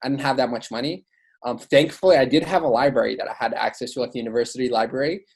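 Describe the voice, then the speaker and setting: A man's voice: mid-range voice, fast talker, creaky. A young man, born in Iraq, talking informally and enthusiastically as a podcast guest, with lots of "like" and "you know". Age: young adult. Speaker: podcast guest, student author and educator. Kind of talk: casual interview talk.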